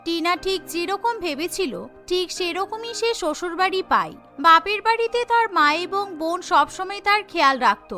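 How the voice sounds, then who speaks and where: A woman's voice: extremely high pitch, lively intonation, normal speed, pushed and bright. A woman narrating a scripted cartoon story as its storyteller. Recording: music behind the voice.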